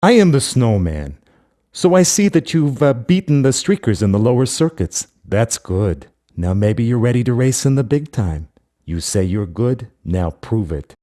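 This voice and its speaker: An actor playing a shrewd, money-minded racing backer in a video game, with a demanding, businesslike delivery. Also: male voice, medium pitch, sing-song, unhurried, dark tone. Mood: challenging. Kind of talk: scripted video game character dialogue.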